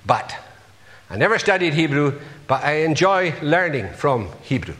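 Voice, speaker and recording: A man's voice: a little high, sing-song, moderate pace, fairly bright tone, big-room sound. A man preaching a sermon, earnestly putting rhetorical questions to his congregation.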